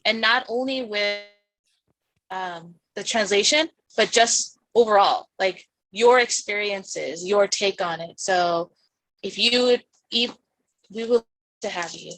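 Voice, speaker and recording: A woman's voice: medium pitch, slow pace, a bit bright, no echo, low-quality recording. A woman from the United States, a parent on the board, talking eagerly in an online meeting, rambling and restarting her sentences. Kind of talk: casual online meeting talk. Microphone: video call.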